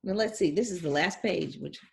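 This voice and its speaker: A woman reading a picture book aloud to young children in an expressive voice, pausing to chat casually about the illustrations. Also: fairly low pitch, gravelly, a little hiss or hum. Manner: expressive, acts out sound words. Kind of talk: read-aloud storytelling with casual commentary.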